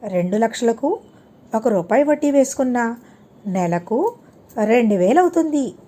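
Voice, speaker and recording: Woman reading as shrewd, firm, animated landlord. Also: on the high side, normal speed. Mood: calculating, insistent. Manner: explains sums step by step. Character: shrewd, calculating male landlord, polite but firm.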